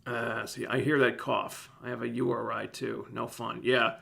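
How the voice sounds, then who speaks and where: A man hosting a casual livestream, with a phlegmy, scratchy throat, talking in a relaxed, rambling way that keeps breaking off and restarting. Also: medium pitch, normal speed, creaky. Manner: relaxed, rambling, self-interrupting. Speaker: livestream host reviewing TV shows. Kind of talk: casual livestream chat answering viewers.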